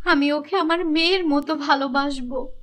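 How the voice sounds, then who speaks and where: High-pitched scheming stepmother, played by a woman. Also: moderate pace, close to an everyday voice. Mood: begging. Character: scheming stepmother, melodramatic and self-pitying.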